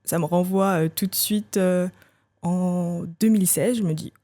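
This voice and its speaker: A woman in her thirties, a podcast guest telling her own story candidly, thinking aloud with frequent hesitant pauses. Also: lowish voice, steady tone, dark tone. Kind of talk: candid personal testimony.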